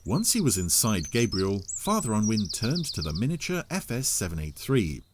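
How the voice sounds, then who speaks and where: A man's voice: lowish voice, very animated, moderate pace, noisy background. A man narrating a scripted audio story in the role of storyteller. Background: music.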